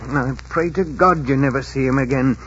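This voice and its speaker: A man's voice: medium pitch, normal speed, a bit bright, natural voice. An actor playing a worried, talkative old innkeeper and father in a 1940s radio drama, fond and plain-spoken in manner. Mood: worried, pleading. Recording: muffled, faintly noisy 1940s radio recording.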